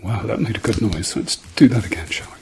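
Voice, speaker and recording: A man speaking softly to the listener as a doctor in an ASMR medical roleplay, murmuring reassuringly and often slipping into whispers. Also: lowish voice, quick pace, gravelly, very noisy. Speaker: ASMR performer playing a doctor.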